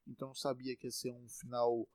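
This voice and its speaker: A man's voice: medium pitch, monotone, a little fast, a little mellow, no echo. A young man in his twenties from Salvador, with a Bahian accent, rambling casually with lots of swearing. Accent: Bahian Brazilian Portuguese. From Salvador, Brazil. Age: 20s. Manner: rambling, profane, self-mocking. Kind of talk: casual monologue.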